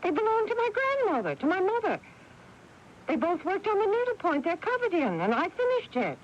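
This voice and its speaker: A woman's voice: very high-pitched, wildly swooping pitch, brisk, exaggerated voice. An actress playing an imperious, possessive older mother, indignant and emphatic in a radio drama. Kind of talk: 1980s radio drama acting. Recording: noisy, muffled old radio broadcast.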